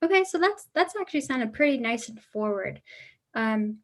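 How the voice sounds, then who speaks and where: A woman's voice: on the high side, animated, moderate pace. A young woman casually explaining voice therapy techniques, coaching with fillers and live demonstrations. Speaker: voice therapy presenter. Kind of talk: casual spoken instruction.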